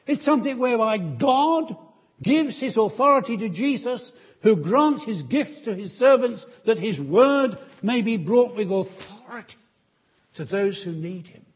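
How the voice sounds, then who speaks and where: A man preaches slowly and emphatically, his fairly high voice rising and falling widely as he builds his appeals. The tone is a little husky. The recording is dull and lo-fi, as if taken in a church. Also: expressive. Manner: slow, emphatic pulpit delivery with rising appeals. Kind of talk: sermon.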